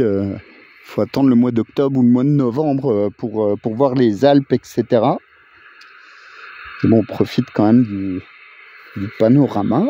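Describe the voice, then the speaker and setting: A man's voice: medium pitch, dark tone. A man giving a casual, hesitant running commentary outdoors as an amateur radio operator. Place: outdoors on a mountain viewpoint. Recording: noisy outdoor recording with radio sounds.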